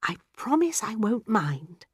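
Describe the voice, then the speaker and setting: Woman acting a storybook schoolmistress, female, gentle, encouraging, prim, lifted lilting voice. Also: mid-range voice, big pitch swings, slow, breathy edge, no room sound, clean studio sound, pitched above the performer's own voice, heavily acted. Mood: curious, insistent. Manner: kindly, prim, attentive.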